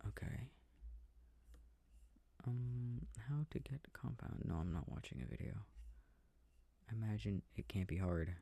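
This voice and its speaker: A man speaking softly and breathily, often near a whisper, quietly musing to himself in an ASMR sleep-aid style while playing a video game. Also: on the low side, big pitch swings, measured pace, vocal fry, pauses often, no room sound. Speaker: audio roleplay performer. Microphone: close microphone. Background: game controller clicking.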